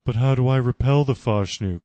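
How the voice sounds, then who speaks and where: A man's voice: medium pitch, fairly quick, warm tone, no echo, voice pushed up, close to an everyday voice. A man voicing an indignant, earnest queen, a strong woman, with a formal, declamatory manner in a parody story. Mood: solemn, resolved.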